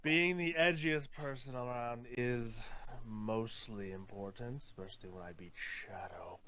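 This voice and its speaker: Man acting edgy, sharp-toned jackal villain. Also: mid-range voice, unhurried. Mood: smug. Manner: sardonic, theatrical. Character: edgy brooding male jackal villain, vengeful and sardonic. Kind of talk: fan comic dub Q&A in character.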